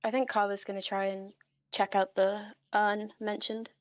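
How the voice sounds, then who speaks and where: A woman's voice: medium pitch, flat delivery, normal speed, no echo, muffled recording. A woman playing a tabletop game, chatting casually and choosing her words tentatively.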